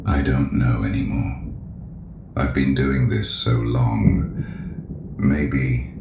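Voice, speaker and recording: An actor playing an ancient, damned male penitent who is courteous and quietly menacing, in an audio drama, speaking slowly and with measured calm. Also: extremely low pitch, gravelly, reverberant, over music, heavily acted. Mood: weary. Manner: slow, measured, quietly probing.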